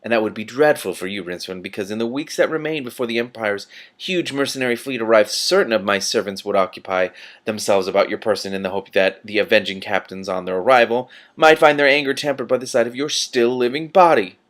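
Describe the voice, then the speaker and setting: A man reads as a coolly threatening lord from a fantasy storybook. He is formal and measured, wrapping menace in polite phrases. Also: fairly low pitch, fairly bright tone, lightly acted. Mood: silkily threatening. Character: smooth, menacing male ruler issuing veiled threats.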